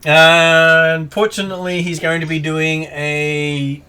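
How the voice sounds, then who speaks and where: A man narrating as game master of an online tabletop game, talking in halting bursts with many fillers. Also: fairly high pitch, flat delivery, sharp tone, smooth.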